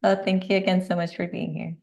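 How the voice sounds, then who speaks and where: A woman hosting a podcast, asking questions and affirming her guest. Her voice is on the lower side with a gravelly fry. Her delivery is casual and encouraging. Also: little pitch movement, fairly quick, darkish tone. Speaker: podcast host, dietitian.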